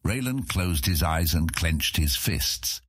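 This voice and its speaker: A man's voice: sits low, animated, takes it slowly, fairly bright tone, breathy edge, no echo, studio quality. A man narrating a scripted fantasy audiobook in a dramatic storytelling style.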